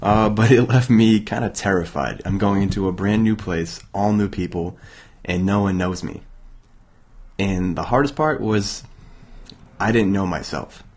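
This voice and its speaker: A young man from Massachusetts hosting a motivational self-help show, hoarse and raspy from illness, talking casually with many fillers and restarts. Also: deep voice, unhurried, a little mellow. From Massachusetts, USA. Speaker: podcast host.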